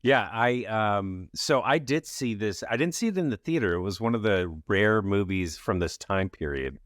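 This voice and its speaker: A man's voice: fairly low pitch, animated, moderate pace, a bit bright, no echo, clean studio sound. A man hosting a podcast, talking in an upbeat, enthusiastic way. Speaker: podcast host. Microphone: studio mic.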